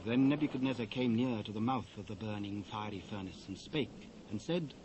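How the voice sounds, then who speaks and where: A man with a British accent narrating scripture in a measured, solemn, formal and dramatic way. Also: fairly low pitch, a little mellow, poor audio. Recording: faint hiss, old cassette transfer.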